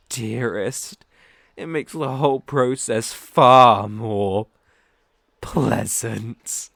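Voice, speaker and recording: A man's voice: medium pitch, creaky, a bit bright, dry recording, clean studio sound, heavily acted. A man with a British accent playing a sadistic, predatory werewolf in an ASMR roleplay, theatrical and menacing, savoring his words. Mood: gloating, seductive. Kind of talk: ASMR roleplay audio drama.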